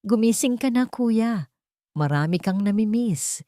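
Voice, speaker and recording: A woman's voice: fairly high pitch, lively intonation, unhurried, dark tone, no room sound, clean studio sound, voice pushed up. A woman voicing a rich, menacing younger brother in an audio story, sweet but pointed, with a Filipino accent. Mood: falsely affectionate.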